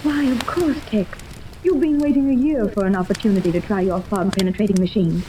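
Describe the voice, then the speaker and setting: A woman's voice: medium pitch, brisk, close to an everyday voice. An actress playing a wealthy, anxious but hopeful mother in a 1930s radio serial, pleading and dramatic. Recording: noisy old 1930s radio recording.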